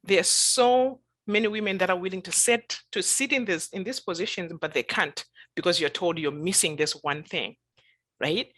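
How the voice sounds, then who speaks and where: A woman's voice: fairly low pitch, moderate pace, a bit bright. A woman panelist speaking emphatically on a video call, repeating her key points for weight. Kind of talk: panel discussion remarks.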